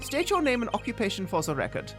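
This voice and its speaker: A streamer reading aloud the lines of a confident young male rock-star prosecutor during a game playthrough, in a smooth, self-assured way. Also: mid-range voice, huge pitch swings, fast talker, voice pushed up. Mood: smug. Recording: game music behind the voice.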